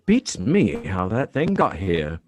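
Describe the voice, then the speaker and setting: A man's voice: low-pitched, huge pitch swings, takes it slowly, darkish tone, exaggerated voice. A man reading the part of a puzzled, plain-spoken middle-aged policeman and father in a radio play, in a folksy manner. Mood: sceptical. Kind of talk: live radio drama script reading.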